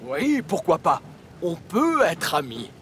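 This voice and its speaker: An actor voicing a gentle, slightly fretful older tram engine who likes quiet, in a French children's cartoon dub. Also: male voice, a little high, wildly swooping pitch, slow pace, background noise, full character voice. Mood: calm, kindly.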